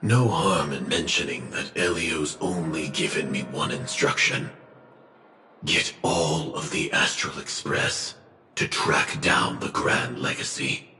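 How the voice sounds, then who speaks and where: Fatalistic game hunter: extremely low, grave, very slow and halting, over music. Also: male voice, pushed and bright, slight background noise, heavily acted. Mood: grim, resigned. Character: weary, fatalistic male hunter bound to a script. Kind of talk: dramatic video game voice acting. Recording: echoey, music underneath.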